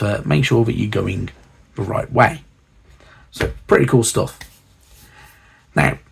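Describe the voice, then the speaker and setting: Man, low voice, raspy, croaky with a cold, rambling, lots of ums. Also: a little mellow. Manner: rambling, many fillers and restarts. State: hoarse from a cold. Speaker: channel host reviewing a hobby magazine. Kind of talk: casual unscripted walkthrough.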